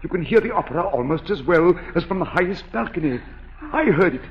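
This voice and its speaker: An actor in a 1940s radio drama playing a mad, disfigured masked composer, theatrical and brooding, obsessively tender. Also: male voice, high-pitched, expressive, highs cut off. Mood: brooding, eerily tender. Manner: theatrical, slow, brooding speech that swells into frenzy. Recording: echoey 1940s radio broadcast with music.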